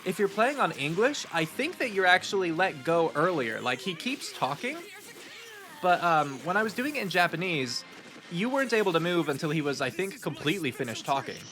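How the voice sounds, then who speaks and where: A man with a stuffy nose giving relaxed, rambling commentary on his speedrun, with lots of ums. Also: on the high side, a little fast, faint music behind. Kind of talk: casual post-run gameplay commentary.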